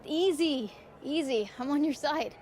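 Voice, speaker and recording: A woman's voice: sits high, a little slow, a little mellow, a little hiss or hum. An actress playing a plucky, principled young female pro wrestler in a video game cutscene, earnest, emphatic and colloquial. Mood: hesitant.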